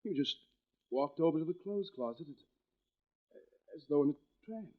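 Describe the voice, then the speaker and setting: An actor playing a troubled, secretive husband in a 1940s radio suspense drama, with a halting, evasive delivery. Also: male voice, fairly high pitch, animated, natural voice. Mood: concerned. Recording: vintage radio, phone-like narrow band.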